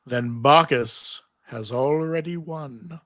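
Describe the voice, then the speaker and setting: Casting: man as embittered, urgent male fantasy companion, drawn-out scolding delivery, bright edge. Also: fairly high pitch, dry recording. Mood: bitter, scolding. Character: Orpheus, bitter urgent male head scolding his companions. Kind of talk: live table read of a TV fantasy script.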